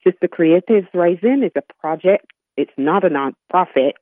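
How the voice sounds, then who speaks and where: A woman's voice: on the low side, animated, no echo. Woman in her 60s from barbados, interview guest, stops and restarts a lot, phone-line sound. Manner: halting, repeats and restarts often. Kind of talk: interview conversation.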